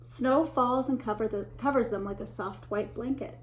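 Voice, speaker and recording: A woman with a slightly high voice reads a children's picture book aloud in the warm, expressive way of a teacher at storytime. She shifts her pacing for suspense, sometimes hushing to a whisper, and stops now and then to ask little listeners what they can spot on the page. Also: low-quality recording. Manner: animated, gasps and whispers for suspense, pauses to point out pictures.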